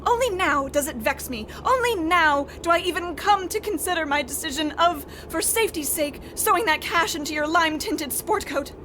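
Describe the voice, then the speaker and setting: A woman's voice: very high voice, expressive, moderate pace, hardly any pauses, sharp tone, exaggerated voice. A woman playing an exasperated, wordy wife in a mock old-time radio comedy, arch and melodramatic. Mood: lamenting. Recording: clear background noise.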